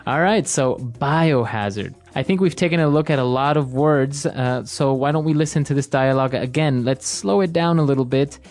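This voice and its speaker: A man's voice: medium pitch, normal speed, hardly any pauses, darkish tone, faint music behind. Male co-host affirming and adding examples. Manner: agreeable, affirms and adds examples. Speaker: podcast co-host, English teacher. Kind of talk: casual teaching chat.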